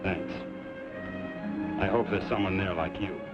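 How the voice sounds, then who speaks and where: A terse, deep-voiced drifter of the old West, giving laconic radio-drama replies. The old recording is muffled, with loud music behind him. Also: male voice, fairly even intonation, measured pace. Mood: subdued, resolute. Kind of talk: old-time western radio drama acting.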